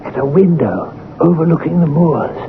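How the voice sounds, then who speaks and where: Bossy suspicious manor master, high voice, tinny radio drama with slight hiss. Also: male voice, expressive. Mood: tense. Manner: brisk, commanding. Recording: band-limited old radio broadcast with hiss.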